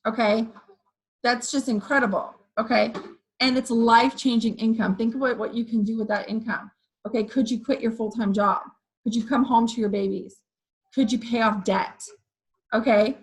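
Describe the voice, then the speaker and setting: A woman with a hoarse voice giving an energetic motivational pep talk to her sales team, often checking in with "okay" and "you guys". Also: a little high, normal speed, rough recording. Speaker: direct sales team leader.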